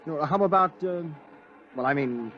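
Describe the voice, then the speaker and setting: An actor playing a polite, somewhat hesitant street reporter in a radio drama, asking tentative, probing questions. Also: male voice, fairly high pitch, lively intonation, moderate pace, lightly acted. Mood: inquisitive, polite. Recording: muffled old radio, faint street noise.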